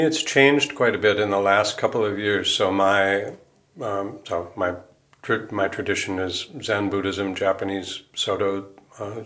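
American guy with a lowish voice, interview guest explaining stuff, thinking out loud, pretty informal. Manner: explanatory, informal, thinking aloud with frequent rephrasing. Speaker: interview guest, musician and Buddhist priest. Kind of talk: reflective conversational interview answers.

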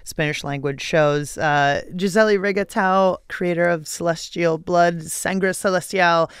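A woman's voice: on the low side, steady tone, unhurried, smooth, no room sound, studio quality. An American woman hosting a radio show in a breezy, slangy, informal way, talking to listeners like pals.

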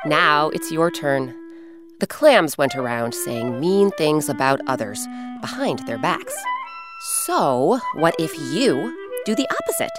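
A woman hosting and narrating a children's story in an expressive, scripted style with dramatic pauses. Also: low voice. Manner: clear, animated, dramatic pauses. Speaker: host and narrator. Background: instrumental music.

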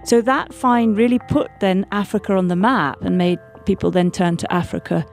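A woman paleontologist speaks in an interview, explaining ideas thoughtfully. Her voice sits at a middle pitch. Faint music plays underneath. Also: normal speed, a little mellow. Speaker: paleontologist guest.

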